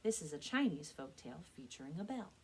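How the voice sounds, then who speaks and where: A woman speaking as a children's librarian and storyteller, with an expressive, clearly enunciated read-aloud delivery. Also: low voice, a little fast, a little hiss or hum. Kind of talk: children's storytelling and book recommendations.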